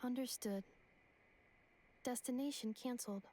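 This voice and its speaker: A woman's voice: a little high, unhurried, dark tone. A woman voicing a polite, dutiful robot companion in a video game, speaking in clipped, formal status reports. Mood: composed, formal.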